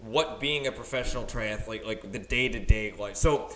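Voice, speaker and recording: A man's voice: on the low side, moderate pace, fairly bright tone, slight background noise. A man in his thirties hosting a podcast, chatty and encouraging in a casual interview. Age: 30s. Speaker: podcast host.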